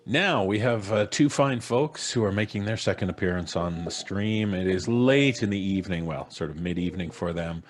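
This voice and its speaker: A man's voice: low voice, expressive, normal speed, hardly any pauses, faint music behind. A middle-aged man hosting a live stream casually, with jokey, theatrical introductions, on a studio mic. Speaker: podcast host. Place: small indoor home studio, on a video call. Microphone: studio mic on a boom arm.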